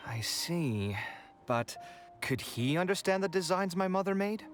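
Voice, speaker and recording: A man's voice: high-pitched, expressive, measured pace, faint music behind. A man voicing an earnest, thoughtful young man in a video game, pensive and hesitant. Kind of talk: scripted game dialogue.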